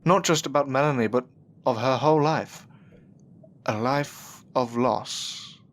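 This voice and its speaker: A man's voice: medium pitch, measured pace, lightly acted. An actor playing a polite, anxious young man with a hesitant manner in an audio drama. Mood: sombre resolve. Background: music.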